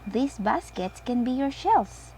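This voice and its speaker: A woman's voice: a little high, big pitch swings, slow, dark tone, a little hiss or hum, voice pushed up, exaggerated voice, poor audio. A woman voicing a kindly old grandfather pig in a children's storybook, patient and playfully scolding.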